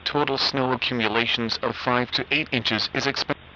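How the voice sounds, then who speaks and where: A man reading a scripted emergency weather bulletin in a formal, measured, impersonal way. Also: mid-range voice, steady tone, very noisy. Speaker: weather alert announcer.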